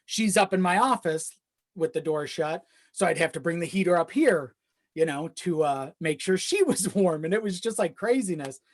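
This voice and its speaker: Podcast guest, mid-pitched voice, rambling casual storyteller with easy swearing, dry recording. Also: lively intonation, normal speed, no room sound. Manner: rambling, often chuckling while talking, swears casually. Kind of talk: casual chat, personal storytelling.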